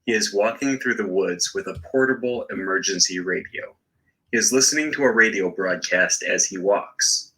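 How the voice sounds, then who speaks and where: A man narrating a learner story slowly and very clearly, in his native English. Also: deep voice, bright, forceful tone, low-quality recording. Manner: slow, deliberately clear. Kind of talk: slow audio story reading for learners.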